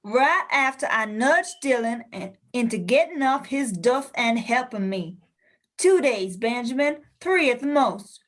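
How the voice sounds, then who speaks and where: A woman's voice: a little high, lively intonation, measured pace. A woman playing a stubborn, feisty old lady ghost, mischievous and insistent, in a radio play. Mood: insistent, wistful. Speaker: actor in an amateur radio play.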